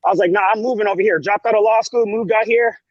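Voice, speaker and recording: A man's voice: high voice, steady tone, fast, no room sound. A man in his forties calling in to a livestream, laid-back and blunt with some profanity. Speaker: caller. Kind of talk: casual call-in chat. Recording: dry, dull lo-fi call audio.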